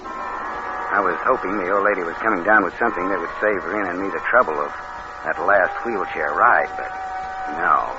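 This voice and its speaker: An actor playing a cold, scheming killer with a hardboiled, deadpan way of talking in an old radio crime drama. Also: male voice, low voice, moderate pace, close to an everyday voice. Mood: cold, matter-of-fact. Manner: hardboiled, deadpan narration, falsely solicitous with others. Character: cold, scheming killer narrating his crime, posing as nephew. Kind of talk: 1940s radio drama acting. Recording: muffled, noisy old radio recording.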